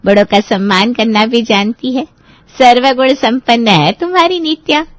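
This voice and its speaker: A woman's voice: on the high side, normal speed, dry recording, lightly acted. A woman playing a teasing, affectionate elder sister in a family audio drama. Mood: fond, playful. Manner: playful, teasing.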